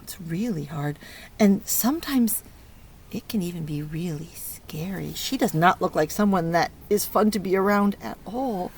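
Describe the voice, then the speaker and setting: A woman teacher reading a picture book aloud to young children, stopping to ask questions and encourage them in an expressive way. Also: fairly low pitch, moderate pace. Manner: encouraging, praising child listeners; expressive, exclaiming and prompting. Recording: clear background noise.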